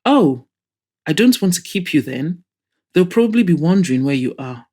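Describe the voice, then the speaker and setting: A woman's voice: fairly low pitch, expressive, normal speed, mellow. Woman reading as an anxious, hopeful young woman. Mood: considerate. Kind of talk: audio drama reading.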